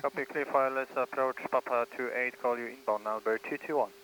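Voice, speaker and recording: Male pilot rattling off radio readbacks really quick, noisy transmission. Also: mid-range voice, steady tone, fairly bright tone. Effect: aircraft radio. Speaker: airline pilot.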